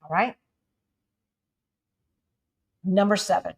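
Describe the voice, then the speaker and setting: A woman's voice: mid-range voice, slow, husky. A woman from the United States co-hosting a livestream, talking casually and with energy, laughing often and calling her viewers "y'all". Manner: animated, laughing, addresses audience as y'all. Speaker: livestream co-host.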